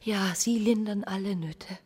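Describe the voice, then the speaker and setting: An actress playing an unhappy, yearning young provincial wife in a German radio drama, brooding and often hushed. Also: female voice, medium pitch, measured pace, husky, dry recording. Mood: bitter, weary.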